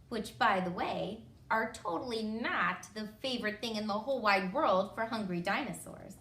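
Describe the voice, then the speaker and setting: A woman's voice: fairly high pitch, a bit bright. A woman, a schoolteacher, reads a children's story aloud expressively, with emphatic phrasing and wry asides. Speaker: first grade teacher. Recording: roomy echo.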